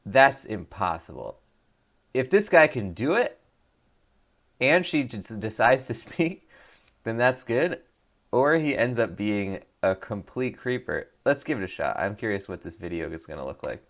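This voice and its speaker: A man's voice: mid-range voice, big pitch swings, measured pace, fairly bright tone. A man hosting a let's play, reading the choices aloud and joking about them with frequent laughs. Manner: jokey, reads options aloud, laughs often.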